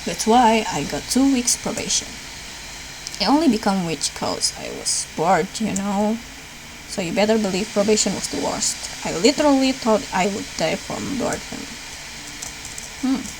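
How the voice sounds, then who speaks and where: A woman's voice: medium pitch, expressive, normal speed, background noise. A woman reading visual novel dialogue aloud as a let's player, lightly acting out the lines. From Indonesia. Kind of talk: read-aloud game dialogue, acted lightly.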